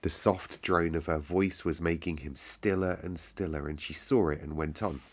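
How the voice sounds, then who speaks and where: Low-pitched man reading a story aloud. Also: fairly even intonation, normal speed. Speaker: storyteller, show host. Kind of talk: read-aloud storybook narration and casual chat.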